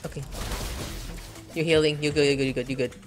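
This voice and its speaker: A man's voice: a little high, steady tone, brisk, faint music behind. A gaming streamer giving crude, playful commentary while he plays at home, often jumping into shrieky joking voices. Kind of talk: casual gameplay commentary. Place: home room with webcam.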